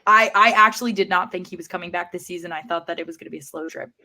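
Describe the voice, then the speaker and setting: A woman's voice: on the low side, racing, a crowd in the background. A woman chatting casually as a panelist on a sports podcast, leaning on words for emphasis.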